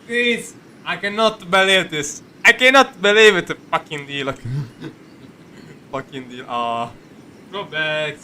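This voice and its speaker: A man giving casual, halting, sweary reaction commentary as he plays a video game, sounding exasperated. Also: a little high, sharp tone, a little hiss or hum. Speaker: game streamer. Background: game music.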